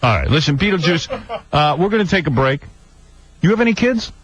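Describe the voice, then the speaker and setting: A man's voice: a little high, big pitch swings, fairly quick, background noise. A male radio host asking a guest teasing, leading questions and laughing often. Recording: lo-fi radio broadcast.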